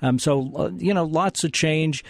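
A man's voice: a little high, no echo. Radio station guy, hesitant, lots of ums, dry studio sound. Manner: hesitant, many fillers, slow and measured. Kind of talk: casual on-air chat.

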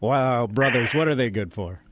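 A man's voice: medium pitch, slight background noise. A man from the radio station chatting on air, hesitant and full of fillers. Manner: hesitant, many fillers, slow and measured. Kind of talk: casual on-air chat.

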